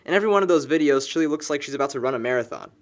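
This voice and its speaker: A man's voice: mid-range voice, lively intonation, racing, natural voice. An actor playing a wry young man in a film, rambling to a friend in slangy, emphatic buddy talk. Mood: opinionated. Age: young adult. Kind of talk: naturalistic buddy dialogue.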